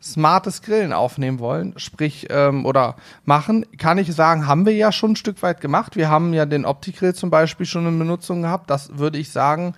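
A man's voice: fairly high pitch, fairly quick, few pauses. Chatty man co-hosting a podcast. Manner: chatty, easygoing, lots of fillers. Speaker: podcast co-host. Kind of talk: casual podcast chat.

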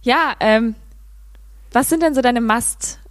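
A woman hosting a casual interview, putting her questions informally and haltingly. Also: on the high side, no room sound. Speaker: podcast host.

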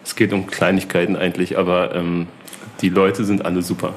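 A man's voice: deep voice, flat delivery, fairly quick, slight background noise. A man hosting a casual interview, chatty and easygoing. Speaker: interviewer, host.

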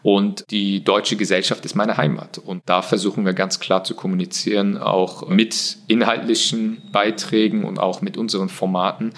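German-raised man, low gravelly voice, explains his work at an even, thoughtful pace with frequent hesitations. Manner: earnest, measured, frequent hesitations. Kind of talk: explanatory interview answers.

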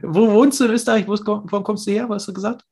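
A man's voice: sits high, fairly even intonation, fast talker, darkish tone, husky. A man hosting a podcast interview, talking in an enthusiastic, chatty way. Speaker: podcast host.

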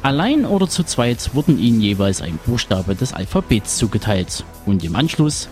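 A man's voice: medium pitch, sing-song, moderate pace, a little mellow, faint music behind. A man co-hosting a review show, talking about films. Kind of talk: film review talk.

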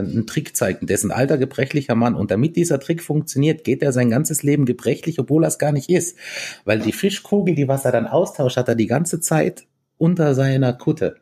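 A man from East Tyrol, a band musician, talking casually in an interview, relaxed and chatty with many fillers and restarts. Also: medium pitch, husky. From East Tyrol, Austria.

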